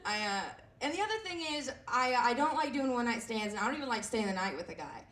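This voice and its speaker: A woman in her twenties with a raspy voice, performing a self-deprecating stand-up set with lots of fillers and halting restarts. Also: fairly high pitch, expressive, fairly bright tone. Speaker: stand-up comedian. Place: comedy club stage. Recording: echoey room heard from a distance.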